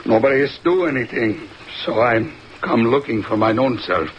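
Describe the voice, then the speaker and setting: An actor playing a flustered, pompous European diamond dealer in an old radio drama, with a thick Germanic accent and fussy broken English. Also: male voice, mid-range voice, normal speed, lightly acted. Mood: plaintive, stubborn. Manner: broken grammar, fussy, protesting. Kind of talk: old-time radio detective drama acting. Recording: dull, noisy vintage radio recording, steady rain.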